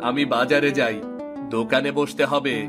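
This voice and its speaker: A man's voice: medium pitch, steady tone, normal speed, lightly acted. A man voicing a good-natured village father and shopkeeper in a cartoon, with music behind. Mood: pleased, easygoing. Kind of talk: cartoon dialogue.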